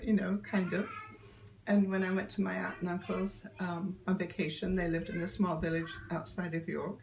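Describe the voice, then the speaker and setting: An older woman, telling stories in a relaxed interview, often laughing and pausing with ums. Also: on the low side, vocal fry, poor audio. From Yorkshire, England. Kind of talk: casual storytelling interview.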